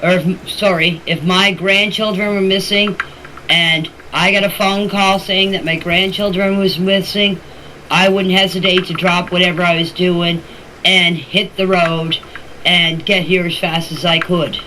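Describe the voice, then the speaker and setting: A woman's voice: lowish voice, fairly even intonation, unhurried, pushed and bright. An older woman talking informally in voice messages to a group chat, emphatic, opinionated and repeating herself. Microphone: phone voice message. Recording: noisy amateur voice message recording.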